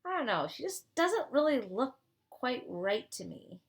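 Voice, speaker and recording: A woman reading a children's storybook aloud in an expressive style, with chatty asides to her young listeners. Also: sits high, unhurried. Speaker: teacher reading aloud to pupils.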